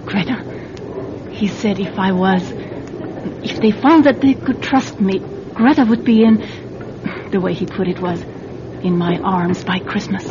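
Radio drama female role, actress: coerced mother, nervous and faltering, medium pitch, noisy and slightly muffled recording. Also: unhurried, natural voice. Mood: afraid. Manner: hesitant, fretful. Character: anxious, vulnerable mother coerced into spying. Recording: dull radio recording with background noise.

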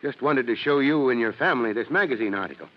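An actor playing a jovial, teasing middle-aged fire chief in a vintage radio drama, with a hearty, authoritative manner. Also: male voice, medium pitch, animated, quick pace, lightly acted. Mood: genial. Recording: muffled old radio broadcast.

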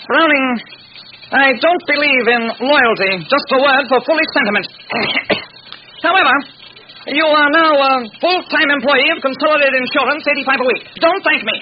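A man's voice: very high-pitched, normal speed. An actor playing a brusque, imperious male insurance company boss who barks his lines in a vintage radio drama. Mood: curt, official. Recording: noisy muffled vintage radio recording.

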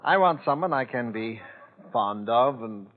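An actor playing an idle, smooth-talking heir from old money, polished and faintly wry, in a 1940s radio drama. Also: male voice, mid-range voice, expressive, a little slow, lightly acted. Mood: suave, wry. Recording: tinny, noisy vintage radio recording.

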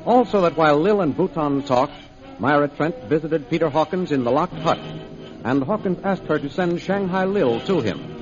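A male announcer narrates a 1930s radio serial in a formal, declamatory way. His voice is fairly high, and music plays clearly behind him. The old recording sounds muffled. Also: animated, normal speed.

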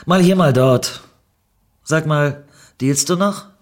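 A woman's voice: low-pitched, slow pace, darkish tone, voice pushed up. A woman voicing a street-tough, hot-tempered female ex-convict with a blunt, emphatic manner in a dramatic story reading. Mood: brash, impatient. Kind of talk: dramatic fan fiction reading.

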